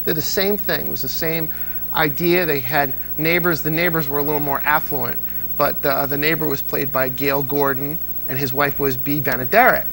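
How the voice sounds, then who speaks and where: A man with a gravelly, creaky edge to his voice talks casually as an interview guest. He explains and tells anecdotes with keen enthusiasm. There is faint noise behind him. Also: fairly high pitch, fairly even intonation, moderate pace, a bit bright, poor audio. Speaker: interview guest, old-time radio fan.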